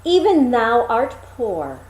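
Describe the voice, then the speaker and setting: Slow, grand queen, played by a storyteller woman. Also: on the high side, huge pitch swings, a little mellow, roomy sound, full character voice, poor audio. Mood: stately, commanding. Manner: stately, archaic, drawn-out phrasing. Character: grand, commanding queen offering bargains.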